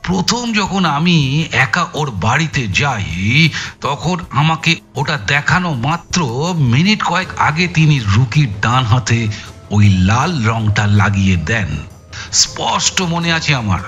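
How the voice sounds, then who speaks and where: An actor playing an exhausted, frustrated police detective in an audio thriller, with a halting, emphatic way of telling things. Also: male voice, medium pitch, big pitch swings, fairly bright tone, faint music behind. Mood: intent, explaining. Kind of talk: dramatized audio thriller acting.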